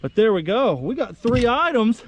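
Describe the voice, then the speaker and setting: A young American man chatting casually to viewers in a folksy, excitable way while out on the water. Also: very high voice, normal speed. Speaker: vlog host. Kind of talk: casual outdoor vlog chat. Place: outdoors on a kayak under a bridge. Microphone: action camera. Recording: muffled action camera audio with outdoor noise.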